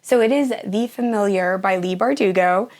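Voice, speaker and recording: A young woman hosting a casual book vlog, her voice hoarse and nearly gone after the flu, gushing about the books she plans to read. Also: mid-range voice, normal speed, a little mellow. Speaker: book channel host.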